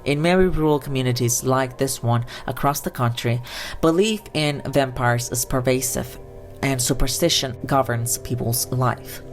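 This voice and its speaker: A woman narrates a documentary from a script, her voice sitting low in pitch. Her delivery is measured and even, with faint music playing softly behind her. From Romania. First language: Romanian.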